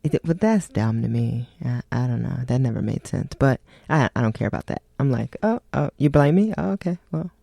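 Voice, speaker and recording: A woman's voice: rumbling low, lively intonation, brisk, vocal fry, hardly any pauses, mellow. A woman co-hosting a casual radio chat, joking and laid-back with lots of laughter. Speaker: co-host.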